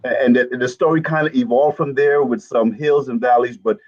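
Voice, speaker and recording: A man's voice: mid-range voice, fairly even intonation, fairly quick, no room sound. A middle-aged man from the Bahamas, coaching, telling his story casually and upbeat with easy laughs. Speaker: network marketing coach. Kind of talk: casual storytelling on a live stream. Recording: dull, dry lo-fi sound.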